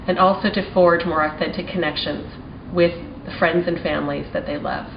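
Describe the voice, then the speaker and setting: A forty-year-old American woman giving prepared testimony as a small business owner, in an earnest, deliberate, formal manner. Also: deep voice. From United States (Maryland), partly raised in Taiwan and China. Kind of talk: prepared advocacy testimony speech. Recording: roomy, distant mic, dull sound, faint noise.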